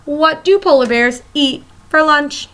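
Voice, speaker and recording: A woman's voice: high-pitched, measured pace, a bit bright, slight background noise. A woman teacher reading a nonfiction children's book aloud, talking straight to young listeners and explaining things simply. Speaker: elementary school teacher.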